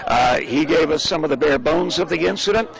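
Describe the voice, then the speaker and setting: An American man giving a live breaking news report from the field, in halting, improvised phrasing. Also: fairly high pitch, gravelly, poor audio. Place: outdoors in a crowd. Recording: crowd noise at live location. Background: crowd of people.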